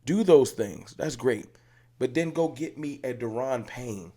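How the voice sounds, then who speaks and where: A man's voice: mid-range voice, animated, unhurried, dark tone. A man hosting a fan channel, ranting passionately to camera in casual speech and hammering his points home with repetition. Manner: passionate, emphatic, repeats points. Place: room with hats on the wall behind him.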